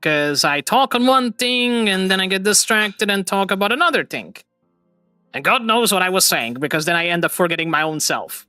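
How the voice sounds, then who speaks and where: A man's voice: high voice, big pitch swings, normal speed, bright, forceful tone, dry recording, clean studio sound, faint music behind. A man hosting a casual stream chat, rambling from one thought to the next and laughing at himself often. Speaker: streamer host.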